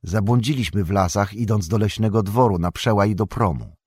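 A man's voice: on the low side, moderate pace, a little mellow, husky, no room sound, clean studio sound, voice pushed up. A man doing a polite young hobbit.

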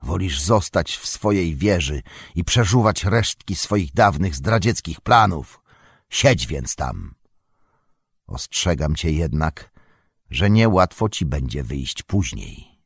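A man's voice: low-pitched, very animated, slow, breathy edge, no echo, studio quality, heavily acted. A man voicing a stern, commanding old male wizard with an authoritative manner. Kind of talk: audiobook character reading.

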